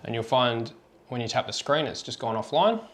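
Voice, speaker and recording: A man's voice: medium pitch, animated, moderate pace, a bit bright, slight background noise. Man giving an informal how-to demonstration. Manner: informal, step-by-step explaining. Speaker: product tutorial presenter.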